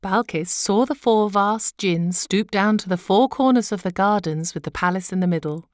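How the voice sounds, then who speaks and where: A woman storyteller reading a children's tale aloud in an expressive narrating style. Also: medium pitch, normal speed, no room sound, studio quality. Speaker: storyteller, audiobook reader.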